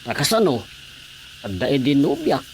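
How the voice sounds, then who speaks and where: Animated sheepish Ilocano playboy villager. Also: male voice, mid-range voice, slight background noise. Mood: boastful, confiding. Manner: eager, rapidly repeated agreement, halting confession. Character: sheepish self-confessed aging playboy villager seeking forgiveness. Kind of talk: Ilocano radio drama comedy acting.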